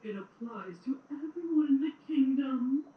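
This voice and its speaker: A woman playing a cruel, menacing, imperious queen in an audio drama, theatrical and commanding with drawn-out words. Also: high voice, lively intonation, phone-quality sound. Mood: sinister scheming. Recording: roomy, distant playback with some noise.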